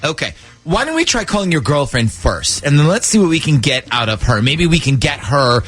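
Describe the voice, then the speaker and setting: An animated man hosts a morning radio show in a jokey, bantering way. His voice is slightly high and swings freely in pitch. Music plays faintly behind him. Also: a little fast. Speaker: radio host. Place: radio studio.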